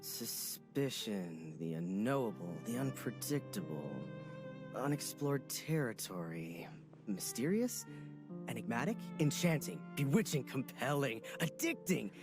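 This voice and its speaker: A gossipy young soldier in a game who rambles on with great drama. His mid-pitched voice swoops widely, and game music plays behind him. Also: big pitch swings, unhurried. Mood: dramatic. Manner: theatrical, rambling.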